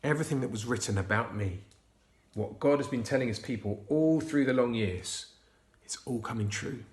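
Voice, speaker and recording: A man voicing Jesus in a children's storybook reading, an adult man pleading and then speaking gently. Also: mid-range voice, normal speed, natural voice. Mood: gentle. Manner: pleading, then hushed and whispering. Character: anguished, gentle adult male Jesus figure. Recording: roomy echo.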